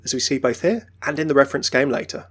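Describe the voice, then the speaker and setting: A man's voice: medium pitch, fast talker, a bit bright, husky. A man narrating a scripted video about video game lore as its presenter.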